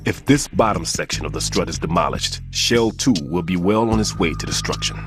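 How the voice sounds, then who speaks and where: A man plays a remorseful older bomb-disposal expert in a game cutscene, speaking low and heavy. His delivery is grave and measured, and a steady score hums behind the studio-clean voice. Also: close to an everyday voice. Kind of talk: video game cutscene acting. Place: recording studio. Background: game score music.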